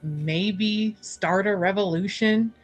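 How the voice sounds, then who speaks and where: A woman's voice: mid-range voice, slow, soft background music. A woman chatting casually about her tabletop character in a breezy, joking way. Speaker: player on an actual-play tabletop show.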